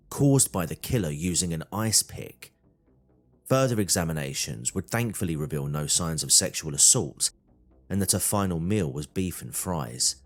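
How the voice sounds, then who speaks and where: A man reading scripted true crime documentary narration. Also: low voice.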